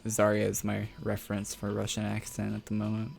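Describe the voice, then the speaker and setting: Young male streamer, low gravelly voice, faint music underneath, relaxed rambling chat. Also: a little fast. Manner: laid-back, rambling, laughs often. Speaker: game streamer. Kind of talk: casual stream chat reading viewer questions.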